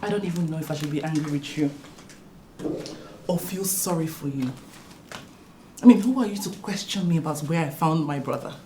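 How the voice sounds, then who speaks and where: A woman's voice: sits low, fairly quick, pauses often, heavy background noise, lightly acted. An actress playing a sarcastic sister who lectures and mocks her brother's wife.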